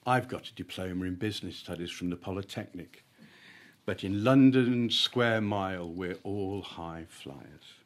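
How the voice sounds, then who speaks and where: A man, an author reading his own short story at a live event, with deliberate, emphatic phrasing and comic timing. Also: low-pitched. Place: live literary event at an arts venue.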